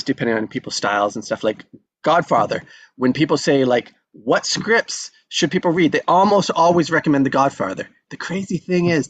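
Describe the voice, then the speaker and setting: A man's voice: a little high, muffled recording. A man hosting an online table read, chatting casually in an upbeat, rambling, encouraging way. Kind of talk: casual chat. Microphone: video call.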